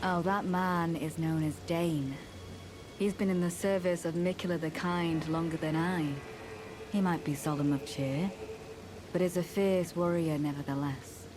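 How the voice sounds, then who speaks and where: An actress playing a composed, devoted woman in a fantasy video game, speaking in a measured, formal and reassuring way. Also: fairly low pitch, fairly even intonation, natural voice. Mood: calm, reassuring. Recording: clear background ambient noise.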